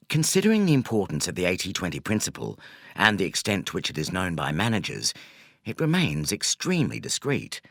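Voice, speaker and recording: A man reading a nonfiction audiobook as narrator, in a scripted style with measured, deliberate phrasing. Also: on the low side, fairly bright tone, dry recording, studio quality.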